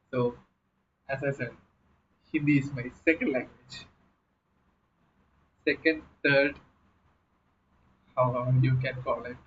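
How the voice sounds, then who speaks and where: A young man with a Malayalam accent, chatting casually with viewers on a live stream from a small room at home. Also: mid-range voice. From Kerala, India. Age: young adult. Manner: chatty, laughing, reading chat aloud. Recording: slightly dull audio with faint noise.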